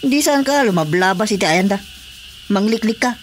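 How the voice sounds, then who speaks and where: A man's voice: high voice, lively intonation, moderate pace, slight background noise. A man acting an easygoing, stubborn fisherman husband in an Ilocano-accented radio drama comedy, with a teasing, dismissive manner. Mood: defensive. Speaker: voice actor.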